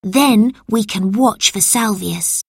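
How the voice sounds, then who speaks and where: A woman voicing an eager child plotting from a hiding place in a children's story, with excited urgency. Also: medium pitch, takes it slowly, vocal fry, slightly rough, no echo, studio quality, voice pushed up, full character voice. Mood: eager, secretive.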